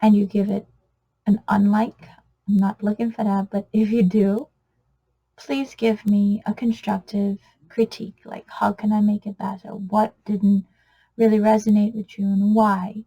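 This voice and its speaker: Soft-spoken Trinidadian woman, husky and low-fi. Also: little pitch movement, unhurried, lo-fi sound. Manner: soft-spoken, earnest, often drops to a whisper. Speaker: oracle card reader. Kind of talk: spiritual card reading video.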